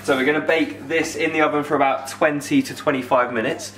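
A man's voice: a little high, steady tone, a little fast, a bit bright. A British man in his twenties hosting a casual cooking tutorial in an informal, enthusiastic way from a home kitchen. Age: 20s. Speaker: cooking video host.

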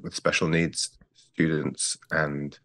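A man in his forties from England with an English accent, recalling his family in a thoughtful, measured way on a video call. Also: rumbling low, level tone, fairly bright tone. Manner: thoughtful, measured, with frequent restarts. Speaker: guest. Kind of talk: reflective interview talk. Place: plain-walled home room. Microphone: earbuds on a video call.

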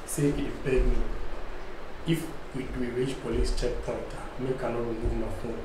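A man's voice: medium pitch, normal speed. A man in his thirties with a Ghanaian accent, animatedly telling a travel story on a podcast. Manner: animated storyteller, acts out police voices. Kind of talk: casual storytelling in Pidgin-tinged English. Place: dark studio set. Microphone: boom-arm studio mic. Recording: echoey room with faint hum.

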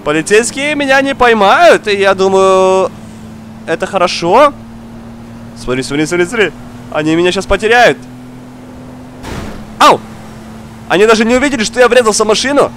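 A young man, slightly under the weather, giving excitable casual gameplay commentary, exclaiming, repeating words and talking straight to the viewer. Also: high voice, moderate pace, pauses often, slight background noise. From outside Russia. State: slightly ill, coughing now and then. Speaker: gaming streamer. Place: indoor room, seated at racing wheel.

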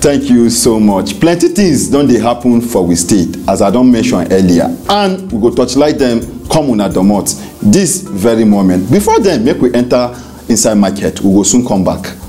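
Nigerian guy in his 30s hosting a studio talk show, mellow voice, music around. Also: medium pitch, big pitch swings, normal speed. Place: small indoor TV studio.

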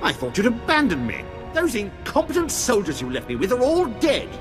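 An actor playing a pompous, cowardly, whining Imperial official in a video game, haughty and fussy. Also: male voice, extremely high pitch, wildly swooping pitch, brisk, exaggerated voice. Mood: petulant. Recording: long hall reverb, music behind.

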